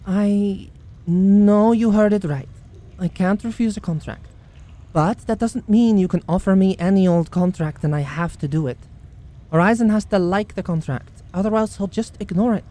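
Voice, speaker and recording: A woman's voice: medium pitch, normal speed, dark tone, close to an everyday voice. A woman playing a kind, wry bounty hunter mage in a fantasy ASMR audio roleplay. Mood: wry, chatty.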